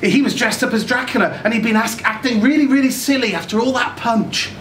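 A man's voice: extremely high pitch, brisk. A middle-aged man reading a short story dramatically as a naive, chatty, frightened child, with hesitant, stammered repeats. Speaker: reader at a live short story event. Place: small indoor event room. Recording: roomy, distant camera recording with loud noise.

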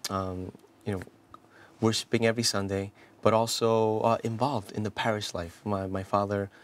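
A man's voice: fairly low pitch, little pitch movement, moderate pace. A man in his thirties from South Korea, a priest telling his life story in a studio interview, earnest and full of restarts and "you know" fillers, on a lapel mic. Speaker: priest guest.

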